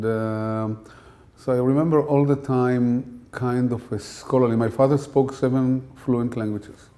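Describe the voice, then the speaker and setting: Soft-spoken Israeli man in his sixties. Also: mid-range voice. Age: 60s. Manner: hesitant, many fillers and restarts. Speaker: interviewee, scientist. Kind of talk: reflective interview answers.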